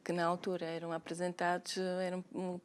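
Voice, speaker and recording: A woman lawyer with a Slovak accent, a Slovak native speaker, answering interview questions in a measured, hesitant way with frequent fillers and restarts. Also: lowish voice, monotone, smooth. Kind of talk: interview conversation.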